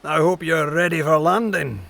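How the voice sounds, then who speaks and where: A man playing a gruff but protective bearded sea captain in a pirate audio drama, speaking in a deliberate, commanding way. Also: medium pitch, expressive. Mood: hearty, jovial.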